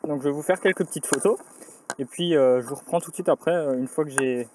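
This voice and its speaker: A man's voice: fairly high pitch, fast. Chatty young man vlogging outdoors. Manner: chatty, self-mocking, many fillers. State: a bit tired after cycling. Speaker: cycling vlogger. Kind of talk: casual vlog narration. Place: outdoors in a village and a forest, inside a small bunker. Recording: outdoor camera audio with clear ambient noise.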